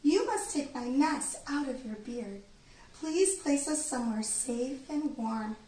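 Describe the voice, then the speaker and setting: A woman voicing a tiny female bird in a children's story, with a squeaky, chirpy, excitable voice. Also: slow pace. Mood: helpful. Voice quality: squeaky little voice. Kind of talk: children's storybook read-aloud. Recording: roomy, distant, faint background noise.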